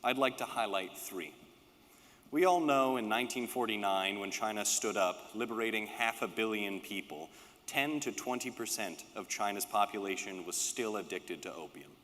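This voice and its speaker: Man, fairly low voice, long hall reverb, prepared political speech, emphatic rhetorical phrasing. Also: normal speed, a bit bright. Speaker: political speaker, panelist.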